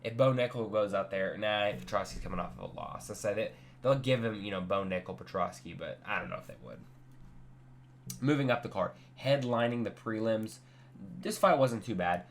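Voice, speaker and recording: A man's voice: on the low side, normal speed, a little hiss or hum. A young man with a stuffy, congested voice, giving a blunt, rambling reaction to a night of fights. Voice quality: nasal, stuffy. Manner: blunt, offhand, rambling with restarts. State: congested after sickness. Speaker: MMA fight reaction host. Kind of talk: casual post-fight reaction chat.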